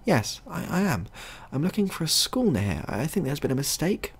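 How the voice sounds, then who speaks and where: A man's voice: fairly high pitch, very animated, moderate pace, slightly rough, pitched above the performer's own voice, full character voice. A man voicing a fussy, polite male substitute teacher in a short story, hesitant and proper in his manner.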